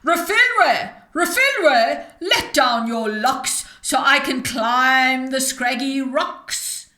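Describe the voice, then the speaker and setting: A bossy, touchy old witch from a storybook, played by a woman reader. The voice is rough and pushed high and loud. The words are stretched out slowly in a ringing room. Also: lively intonation, exaggerated voice. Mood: bossy, calling out. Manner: loud yelling, drawn-out calls. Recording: roomy, echoing sound.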